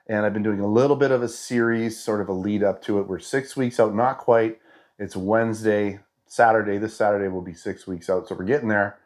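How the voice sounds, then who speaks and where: A man's voice: on the low side, fairly even intonation, brisk, a little mellow. A man in his fifties with a head cold, his voice stuffed up and nasal, chatting casually in a fitness update vlog with lots of restarts. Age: 50s. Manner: chatty and rambling, with frequent restarts and "you know". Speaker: fitness vlogger.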